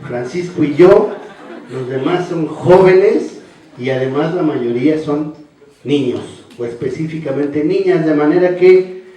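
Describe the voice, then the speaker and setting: An older man giving a measured formal speech as a panelist, often ending phrases with a questioning "no". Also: on the high side, warm tone. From Mexico. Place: large hall. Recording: echoey hall, voice from far off.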